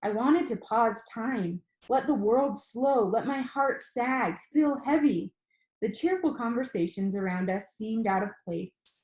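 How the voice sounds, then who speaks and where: A woman reading a novel aloud as expressive storybook narration, telling it in the first person. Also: fairly high pitch, a little slow. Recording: roomy, echoing room sound.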